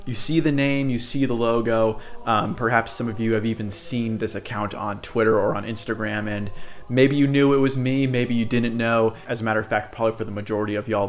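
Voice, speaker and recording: A young American man introducing his channel in a chatty, earnest way, with many fillers and asides. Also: on the low side. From United States. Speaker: channel host. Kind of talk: casual direct-to-camera introduction.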